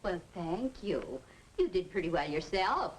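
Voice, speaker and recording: Gracious 50s film mom, slow and very singsong, slight old soundtrack noise. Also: female voice, lowish voice, big pitch swings, poor audio. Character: gracious, courteous mother hosting a teenage party. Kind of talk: 1950s educational film acting.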